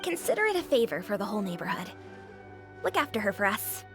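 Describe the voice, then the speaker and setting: An actress voicing a gentle, courteous young noblewoman in a game, speaking softly and formally. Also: medium pitch, very animated, fast talker, natural voice. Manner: soft-spoken, courteous, formal. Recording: game audio with faint music.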